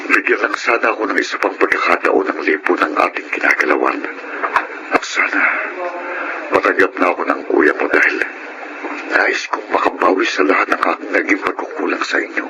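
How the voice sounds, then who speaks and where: Very noisy radio drama audio carries the low voice of a Filipino father. He is a middle-aged ex-convict, remorseful and defensive, pleading his case with a Filipino accent. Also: brisk, vocal fry.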